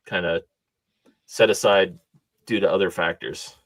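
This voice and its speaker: Low-voiced man, unhurried, hesitant podcast talk with a dry, close recording. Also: steady tone, no echo. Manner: laid-back, hesitant, with many ums. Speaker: podcast guest. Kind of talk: casual podcast chat.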